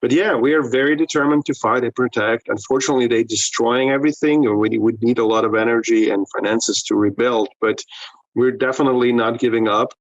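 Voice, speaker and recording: A man speaks over a dull, lo-fi remote connection, his voice dry and close. He talks haltingly, restarting phrases and filling pauses as he answers an interviewer's questions. His pitch sits in an ordinary middle range. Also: steady tone, dry recording. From Ukraine. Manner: halting, frequent restarts and fillers. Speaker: pastor and missionary. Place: in Lviv, Ukraine, remote link.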